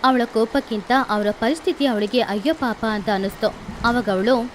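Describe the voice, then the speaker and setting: A woman's voice: a little high, normal speed, slight background noise. A woman storyteller narrating scripted bedtime stories for children.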